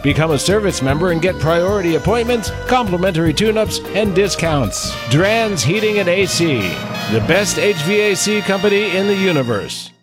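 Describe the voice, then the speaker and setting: A man reading scripted radio commercials in a smooth, polished way. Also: high voice, lively intonation, normal speed. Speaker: commercial announcer. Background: music bed.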